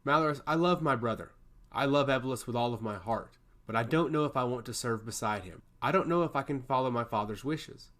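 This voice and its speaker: A man's voice: mid-range voice, brisk, fairly bright tone, close to an everyday voice. A man playing a composed young princess in a fantasy audiobook, earnest and reflective. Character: thoughtful, composed young princess, calculating and sincere.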